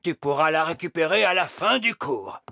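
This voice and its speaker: A man's voice: a little high, animated, moderate pace, vocal fry, pushed and bright, dry recording, clean studio sound, heavily acted. A man playing a stern but kindly wise old elf teacher in a children's cartoon, with a formal, lecturing manner. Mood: kindly, instructive.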